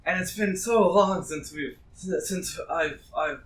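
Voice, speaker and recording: A man's voice: high-pitched, slow pace, fairly bright tone. Man playing a rambling drunk townsman, roomy sound. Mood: eager, nostalgic. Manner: rambling, repetitive, pleading. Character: drunk, clingy, rambling older male townsman, town crazy. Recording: roomy distant mic with noise.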